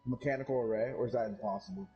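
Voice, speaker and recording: A man's voice: mid-range voice, steady tone, rapid-fire. A young man streaming a game, chatting casually in a slangy, exclamatory way. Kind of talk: casual live gaming chat. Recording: dull, slightly noisy stream mic.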